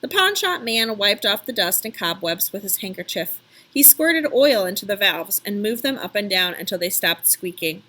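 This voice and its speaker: Bright-voiced woman reading a story aloud. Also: medium pitch, sing-song, moderate pace. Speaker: read-aloud narrator. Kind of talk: expressive children's story narration.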